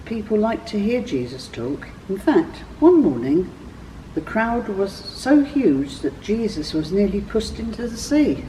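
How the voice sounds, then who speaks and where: A woman reading a scripted children's story as a church storyteller, with expressive, clearly phrased delivery. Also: medium pitch, unhurried, distant mic, slight background noise, poor audio.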